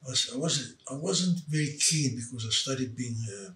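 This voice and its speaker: A man in his eighties who grew up in South Africa, telling stories from his life as an interview guest in a halting way, with many fillers and restarts. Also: mid-range voice, sharp tone. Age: 80s. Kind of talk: storytelling in casual chat. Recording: roomy, distant mic, faint background noise.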